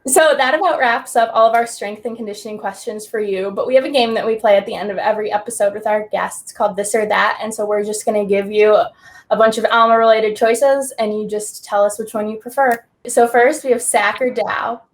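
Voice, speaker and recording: A young woman co-hosting, asking clear and scripted-sounding interview questions. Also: a little high, fairly even intonation, fairly quick. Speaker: student co-host.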